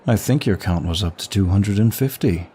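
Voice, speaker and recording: A man playing a suave, teasing demon prince of lust in an ASMR roleplay, bored and sadistic, with a languid, husky delivery. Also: low voice, moderate pace, mellow, slight background noise, lightly acted. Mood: smug, reminiscing.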